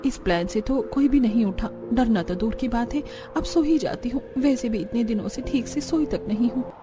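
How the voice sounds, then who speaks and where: A woman's voice: a little high, moderate pace, music in the background, lightly acted. A woman voicing a scheming young daughter-in-law who grumbles to herself in a cartoon. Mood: frustrated.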